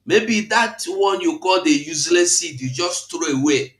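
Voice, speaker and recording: A man's voice: high-pitched, moderate pace, fairly bright tone, roomy sound. A man, hosting a live broadcast, exhorting and quoting scripture in a repetitive, preachy cadence. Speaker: online broadcast host.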